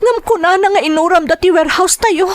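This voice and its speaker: A woman with an Ilocano accent playing a fragile, anxious wife in a radio drama, with halting, stammering, melodramatic delivery. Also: very high voice. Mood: alarmed. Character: fragile, distressed young wife, anxious and tearful.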